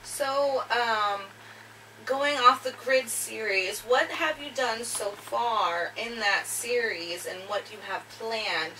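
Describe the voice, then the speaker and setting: A woman's voice: a little high, slow pace, sharp tone, slight background noise, poor audio. A young woman student interviewing a channel host, summarizing his answers back and asking follow-up questions in an encouraging way, in a home library. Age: young adult. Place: home library with bookshelves and fireplace.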